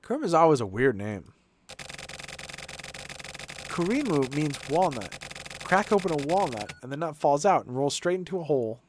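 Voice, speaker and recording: A man's voice: a little high, sing-song, normal speed, long pauses, noisy background. A man streaming a game, reading its text aloud in character with a theatrical, colloquial delivery. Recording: music playing behind the voice.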